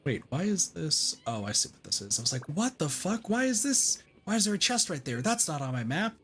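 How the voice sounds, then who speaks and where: A man's voice: fairly high pitch, big pitch swings, fairly quick, fairly bright tone, a little hiss or hum. Casual man streaming a game. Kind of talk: casual livestream game commentary. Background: faint game music.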